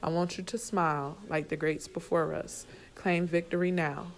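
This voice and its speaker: Low-pitched woman reciting spoken word poetry. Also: level tone. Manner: measured, earnest, emphatic repetition. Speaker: poet.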